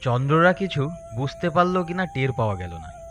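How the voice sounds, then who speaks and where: A man's voice: fairly high pitch, very animated, moderate pace, a bit bright, faint music behind. A man narrating a scripted story as a storyteller.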